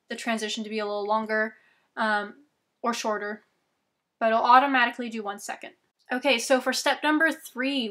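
A young woman in her twenties who is losing her voice, walking viewers through video editing steps slowly and clearly from a small bedroom. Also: on the high side, a bit bright. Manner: slow, step-by-step instructions, chatty asides. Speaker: YouTube tutorial host. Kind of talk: screen-recorded software tutorial.

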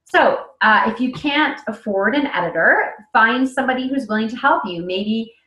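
A woman's voice: a little high, animated, moderate pace, pushed and bright. Conversational woman in an echoey room. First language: English. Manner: conversational, many fillers and restarts. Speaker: author presenting a webinar. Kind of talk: casual instructional talk. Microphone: webcam on a video call. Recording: echoey room on a webcam mic.

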